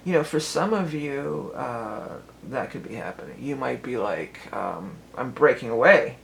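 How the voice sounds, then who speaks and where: A woman with a lowered voice, doing a chatty, rambling tarot reading for her vlog, full of fillers and restarts. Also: expressive, measured pace, gravelly, a little hiss or hum. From Cleveland, Ohio. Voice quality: lower voice than she once had. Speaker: tarot reader and musician.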